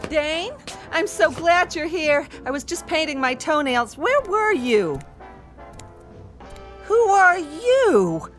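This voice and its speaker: An actress playing a wary, sharp-tongued gangster's girlfriend in a radio drama, slangy and uneasy. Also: high voice, sing-song, slow pace, soft background music, heavily acted. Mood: surprised.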